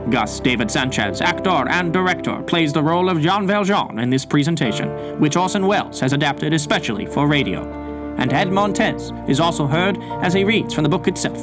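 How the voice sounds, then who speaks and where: A man's voice: a little high, lively intonation, brisk. A man announcing a radio drama with a scripted introduction. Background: plucked guitar music.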